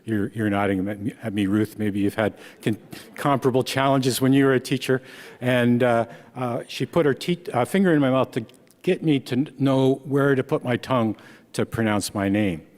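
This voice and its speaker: A man's voice: mid-range voice, a little mellow. Guy in his 60s, dutch was his first language, chatty author talk w/ lots of restarts, echo from a big hall. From Canada (Ontario). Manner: conversational, halting with repeated restarts. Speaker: author and speaker. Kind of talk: book reading talk.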